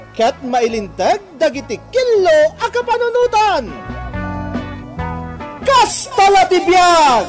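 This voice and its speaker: High, declamatory woman radio announcer. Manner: declamatory, proclaiming. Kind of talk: dramatic scripted program introduction.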